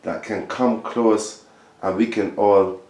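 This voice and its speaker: A man's voice: lowish voice, steady tone, takes it slowly, a little mellow. Insistent man in his thirties, echoey room. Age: 30s. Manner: insistent, lecturing, keeps saying listen to me and trust me. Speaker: discussion participant. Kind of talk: casual group discussion.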